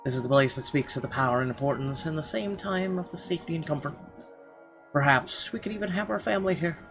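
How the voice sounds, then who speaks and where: A man's voice: a little high, quick pace, creaky, fairly bright tone. A man hosting a gaming video, reading a visual novel's narration aloud. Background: game music.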